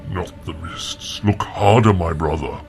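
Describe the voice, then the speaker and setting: An actor playing a solemn, patient non-human warrior with stately, formal speech in a fantasy audio drama. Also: male voice, low-pitched, measured pace, gravelly, a little mellow, faint music behind.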